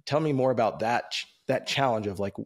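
A man in his thirties hosts an interview from a bookshelf-lined room over a webcam, his voice sitting at an ordinary middle pitch. He speaks in a relaxed, reflective, conversational way. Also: fairly quick. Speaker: podcast host. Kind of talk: casual video-call interview. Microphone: video call, webcam.

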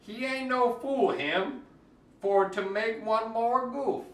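A man's voice: very high voice, slow pace. A man reads a storybook aloud in a theatrical, playful, exaggerated way. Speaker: storyteller reading a picture book aloud. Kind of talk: theatrical storybook reading in Cajun dialect. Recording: echoey room, distant mic.

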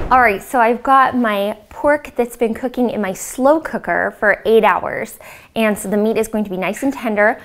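Higher-pitched American woman, cooking host. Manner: clear step-by-step explaining. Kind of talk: casual recipe demonstration to camera. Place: home-style kitchen, indoors. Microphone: lapel mic.

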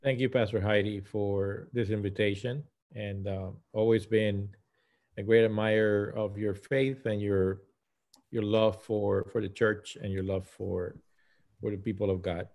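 A man in his fifties from Puerto Rico with a Puerto Rican accent, a native Spanish speaker, talking conversationally as a guest pastor on a video call, halting and correcting himself. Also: fairly low pitch, fairly even intonation, a little mellow. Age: 50s. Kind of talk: conversational personal account. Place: small room at home, indoors.

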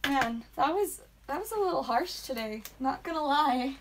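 A woman hosting a live card pack-opening stream, chatting playfully and saying sound effects aloud, with a calming voice. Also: sits high, normal speed, background noise. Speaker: trading card stream host. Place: indoor room with whiteboard.

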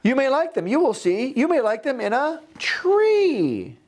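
A man's voice: measured pace, full character voice. A man voicing an eager, persistent children's storybook character who coaxes with rhyming questions. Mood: excited coaxing. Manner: coaxing rhyming questions, high and lively. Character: persistent, eager storybook pest pushing food. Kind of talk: animated children's storybook reading.

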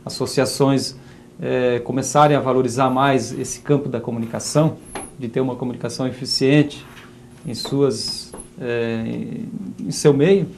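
A man in his forties, a journalist, is a guest in a TV studio interview and speaks earnestly, often filling pauses with "é" and "né". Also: mid-range voice, steady tone, a little mellow, slight background noise. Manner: earnest, restarts with "é" and "né". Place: TV studio kitchen set, seated. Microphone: lapel.

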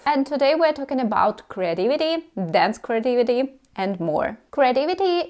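A woman in her thirties speaks with a Russian accent, her first language Russian showing through her English. She explains tips straight to the camera in an animated way, hands moving as she talks, on a lapel mic in an indoor room. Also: mid-range voice. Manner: animated, gesturing with both hands. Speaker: dance teacher. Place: indoor room before a carved screen.

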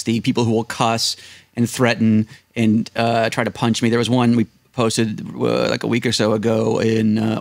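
A man's voice: mid-range voice, no echo, studio quality. A man in his thirties with an American accent, telling casual anecdotes as an interview guest and acting out what people said. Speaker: podcast guest. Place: small indoor room, seated at desk. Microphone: close desk microphone on video call.

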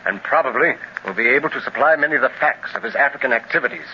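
A man's voice: medium pitch, expressive, close to an everyday voice. A man playing a confident secret agent. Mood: steady, reassuring. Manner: brisk, reassuring and commanding. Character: confident male secret agent leading a jungle rescue. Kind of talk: 1930s radio serial acting. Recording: dull old radio transcription with faint hiss.

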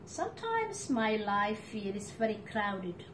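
A middle-aged woman reading a picture book aloud to children, with deliberate, expressive phrasing and pauses. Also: medium pitch, a little hiss or hum, poor audio. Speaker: storytime reader for children. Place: living room at home, indoors.

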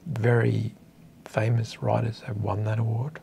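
Gravelly, hesitant Australian man. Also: fairly low pitch, little pitch movement, a little slow, dark tone, slight background noise. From northern coastal New South Wales, Australia. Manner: hesitant, self-deprecating, thoughtful. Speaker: interview guest, author. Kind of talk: casual reflective conversation. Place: small indoor meeting room.

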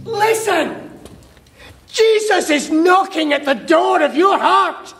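A man playing a fervent medieval preacher in a historical radio drama, declaiming a drawn-out call to holy war. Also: extremely high pitch, animated, creaky, fairly bright tone, soft background music, full character voice. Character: fervent medieval crusade preacher rallying knights.

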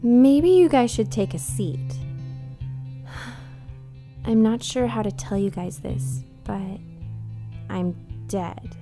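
Casting: actress as vulnerable undead teenage-type girl, highish voice, unhurried delivery, horror audio drama. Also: lively intonation, dark tone, faint music behind. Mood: subdued. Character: distraught young undead girl, casual and vulnerable.

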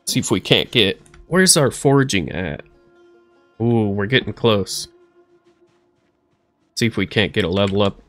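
A man's voice: fairly low pitch, animated, a little slow, pauses often, fairly bright tone, faint music behind. A man in his thirties casually narrating a survival game as he plays, talking to himself in a goofy, playful way. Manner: goofy, talks to himself, playful silly voices. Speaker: let's play streamer. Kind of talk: casual gameplay commentary.

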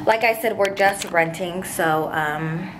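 A young woman vlogging from her kitchen, slightly raspy from a cold, chatting in a rambling way with many asides, filmed on a handheld phone. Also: lowish voice, slow pace, noisy background. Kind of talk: casual chat. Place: home kitchen, indoors. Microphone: handheld phone camera at arm's length.

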